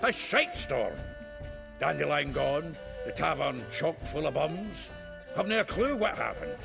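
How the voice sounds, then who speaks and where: A gravelly, creaky-voiced dwarf warrior in a fantasy game, with a very animated pitch that jumps around. He is brash, hearty and boisterous, a colorful talker. Faint music sits behind the voice. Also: a little slow, full character voice. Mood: grumbling, exasperated. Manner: boisterous, colloquial, colorful cursing. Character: brash, hearty male dwarf warrior, foul-mouthed and loyal. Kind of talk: video game cutscene acting.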